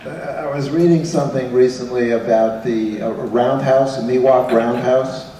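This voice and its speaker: Halting man interviewing on stage. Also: medium pitch, fairly even intonation, mellow, roomy sound, a little hiss or hum, rough recording. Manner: halting, with many restarts. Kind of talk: onstage interview. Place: event hall with an audience.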